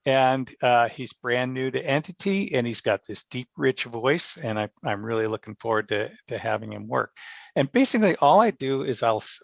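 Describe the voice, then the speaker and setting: A man with a gravelly edge to his mid-pitched voice talks as an interview guest, explaining his approach in a conversational way. He circles back to rephrase his points. The sound is dry and slightly dull. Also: no echo. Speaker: radio theatre director, podcast guest.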